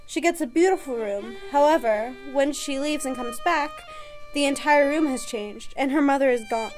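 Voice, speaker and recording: A woman playing an excitable, anxious young newlywed bride in a radio horror play. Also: high-pitched, moderate pace, close to an everyday voice, poor audio. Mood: uneasy, fascinated. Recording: music under the voice.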